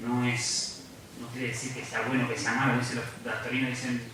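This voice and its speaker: A man gives a reflective, earnest lecture, circling back over his own phrases. His voice is bright and forceful. He sounds distant from the mic in a hugely echoing hall with faint noise behind. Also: medium pitch, monotone, a little fast. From Argentina. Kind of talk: public lecture. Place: large university lecture hall.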